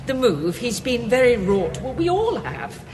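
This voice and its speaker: An actress playing a polite, anxious wartime gallery assistant in a period audio drama. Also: female voice, a little high, big pitch swings, measured pace. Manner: apologetic, well-mannered, uneasy. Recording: reverberant hall, clear background noise.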